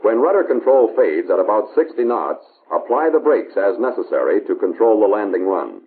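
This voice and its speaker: A man's voice: lowish voice, very animated. Tinny 1950s American male film narrator. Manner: measured, authoritative, instructional. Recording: tinny band-limited 1950s film soundtrack, hiss.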